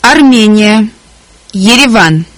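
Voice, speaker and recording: A woman speaking single words slowly and deliberately as a model for learners. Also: on the high side, lively intonation, no room sound. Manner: deliberate, articulated word by word. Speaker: native speaker on a language course recording. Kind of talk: slow, careful model pronunciation.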